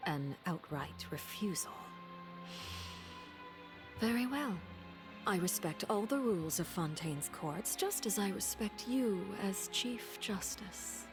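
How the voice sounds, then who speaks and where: A woman's voice: mid-range voice, lively intonation, slow. A woman voicing a coolly menacing, commanding diplomat in a video game, formal and controlled. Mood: coolly offended. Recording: game music behind.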